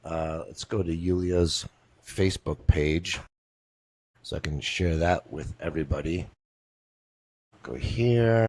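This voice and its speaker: A man hosts a casual interview on a video call, joking around and repeating his lines. His voice sits on the low side and sounds dry and close, with no room around it. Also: slow pace, long pauses, a little mellow, no echo. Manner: jokey, rambling, repeats himself. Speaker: interview host.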